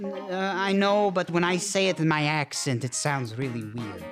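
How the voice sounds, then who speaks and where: A man's voice: on the high side, fairly even intonation, normal speed, over music, natural voice. A man playing a genteel count and horror host, speaking with a theatrical, formal, grandiose manner. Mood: hammy storytelling.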